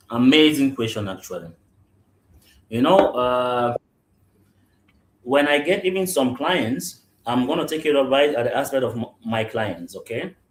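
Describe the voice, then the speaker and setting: A man from Cameroon, a real estate general manager, answering interview questions, often checking "you understand?" and restarting his sentences. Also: mid-range voice. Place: remote call into a live podcast. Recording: roomy echo.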